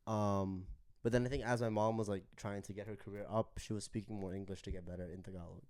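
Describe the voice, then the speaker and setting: A young man co-hosting a podcast, talking in a relaxed, thoughtful way. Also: on the low side, fast, clear, resonant tone. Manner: relaxed, thoughtful, many fillers. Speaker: podcast co-host. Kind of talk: casual chat.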